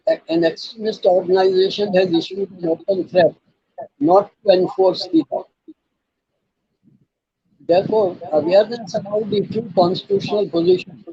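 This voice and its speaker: Older male legal speaker, Indian accent, rough creaky raspy voice, mellow tone, deliberate and emphatic delivery, dull lo-fi recording. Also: high voice, no echo. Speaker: lawyer and channel host. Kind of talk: explanatory legal talk, quoting judgments.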